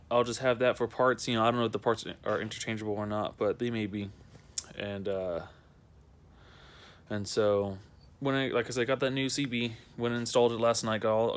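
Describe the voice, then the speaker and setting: A man's voice: on the low side, clear, resonant tone. A young man talking in a casual, unscripted how-to vlog, rambling and repeating himself in a conversational way. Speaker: amateur video maker showing a car project.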